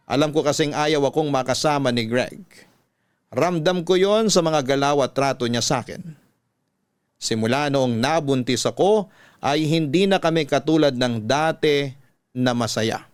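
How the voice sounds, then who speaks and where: A man's voice: mid-range voice, clean tone, no room sound. A Filipino man narrating a scripted first-person life story as host, in a classic radio storytelling style.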